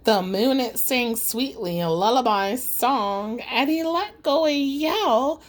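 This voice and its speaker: A woman narrates a rhyming story for children. Her voice is high and highly animated, taken at an unhurried pace. The delivery is dramatic and singsong, like an audiobook reader.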